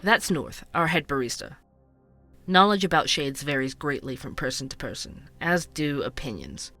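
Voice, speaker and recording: A man's voice: on the high side, animated, moderate pace, bright, forceful tone, faint music behind. An actor playing a curious, chatty male podcast host, conversational and wry in an explanatory way, in a scripted audio drama. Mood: measured, explanatory. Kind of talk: scripted fiction podcast narration and interviews.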